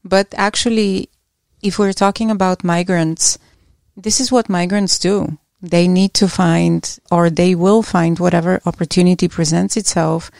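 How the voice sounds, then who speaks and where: A middle-aged woman from Bulgaria, an academic guest answering interview questions in a measured, reflective way with small restarts. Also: lowish voice, fairly even intonation, gravelly, darkish tone, dry recording, studio quality.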